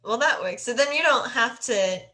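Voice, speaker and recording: A woman's voice: a little high, lively intonation, normal speed, sharp tone. A woman hosting a casual video call interview, encouraging her guest with frequent short reactions. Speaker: host.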